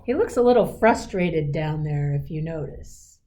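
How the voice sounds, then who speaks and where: A woman reading a children's picture book in an expressive, encouraging manner, with chatty asides to young listeners. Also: low voice, unhurried. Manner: encouraging, explains pictures and asks listeners questions; expressive, emphatic storybook delivery. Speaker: school superintendent reading to children.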